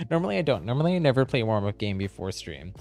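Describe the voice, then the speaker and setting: A man's voice: mid-range voice, very animated, brisk, a little mellow, soft background music. A man hosting a live video game stream, chatting casually as he plays. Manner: chuckles often while talking. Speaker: livestream host playing a video game.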